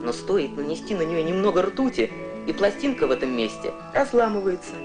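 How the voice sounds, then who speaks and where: Animated narrator for a kids science newsreel, storytelling style, loud music behind. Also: mid-range voice, a little fast. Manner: storytelling, addresses young viewers with questions. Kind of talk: scripted educational documentary narration. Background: prominent music.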